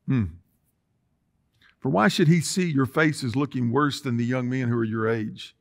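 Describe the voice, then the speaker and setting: A middle-aged male pastor preaching in a church sanctuary, reading scripture and calling on the congregation to repeat after him. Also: mid-range voice. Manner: preaching with call-and-response, reading scripture aloud. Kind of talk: sermon. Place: church sanctuary with empty chairs.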